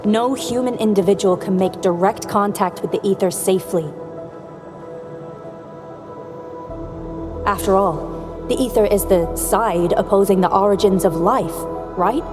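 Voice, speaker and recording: A woman acting as a serious video game director, formal and authoritative. Her mid-pitched voice is matter-of-fact, with long reverb and clear music behind it. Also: moderate pace, close to an everyday voice. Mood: skeptical, grave. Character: serious, businesslike female director, brisk and authoritative.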